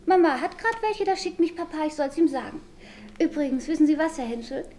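Pert, high-voiced young girl. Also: expressive, fairly quick, darkish tone, a little hiss or hum. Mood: playful, cheeky. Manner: pert, playful. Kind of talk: German radio play acting.

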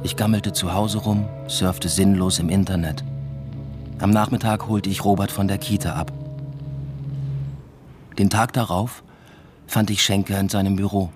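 A man's voice: deep voice, fairly even intonation, measured pace, pauses often. An actor playing a brooding academic father who narrates his own story in a radio drama, subdued and introspective. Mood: subdued, pensive. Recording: music bed behind the voice.

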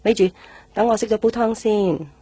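A woman's voice: mid-range voice, normal speed, dark tone, husky, no echo, lightly acted. An actress with a Hong Kong accent playing a protective middle-aged mother in a radio drama, homely and nagging. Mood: fussing, homely. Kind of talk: Cantonese radio drama acting.

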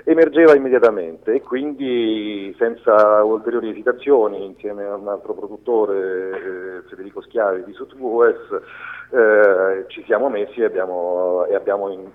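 A man answering interview questions spontaneously and haltingly as a radio guest. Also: fairly low pitch. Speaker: film director as radio guest. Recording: dull lo-fi radio call.

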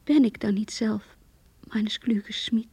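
A lovesick young woman speaks with a breathy, somewhat high voice in a very dry, close sound. She is earnest and intimate, as if confiding a diary in a radio drama. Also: measured pace, a little mellow, no echo, heavily acted. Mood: hurt, sorrowful. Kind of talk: Dutch radio play, diary monologue and dialogue.

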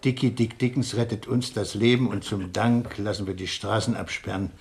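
An actor playing a police chief inspector, brisk in command yet wryly weary and conscience-ridden, in a satirical German radio crime play. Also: male voice, lowish voice, steady tone, creaky, darkish tone, a little hiss or hum. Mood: rueful.

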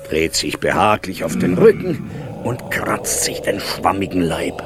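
A man's voice: low voice, big pitch swings, a little slow, loud music behind the voice, heavily acted. An actor as the male storyteller of a whimsical fable, narrating a radio play in dramatic storybook style. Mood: storytelling.

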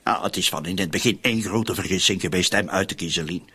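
A raspy, shady schemer. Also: male voice, sits low, fast talker, pushed and bright, exaggerated voice. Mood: rueful, exasperated. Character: shady, scheming man plotting with a female accomplice. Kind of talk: radio drama acting.

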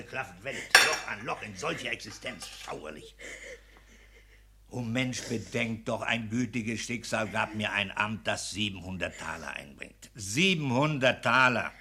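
An actor in a 1960s German radio play playing a petty, tyrannical civil servant husband, pompous, pedantic and self-pitying in a declamatory manner. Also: male voice, lowish voice, expressive, unhurried, heavy background noise. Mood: anxious self-pity.

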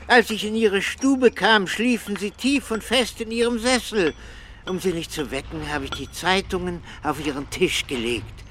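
Higher-voiced, deferential postman. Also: moderate pace, a bit bright, slight background noise. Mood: matter-of-fact. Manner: deferential, earnest. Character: polite, apologetic male postman, matter-of-fact. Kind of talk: radio drama acting.

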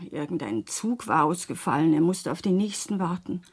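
A woman's voice: fairly low pitch, lively intonation, normal speed, husky. A woman acting a distressed, defensive mother who works as a prostitute, in a plaintive manner, in a radio crime drama.

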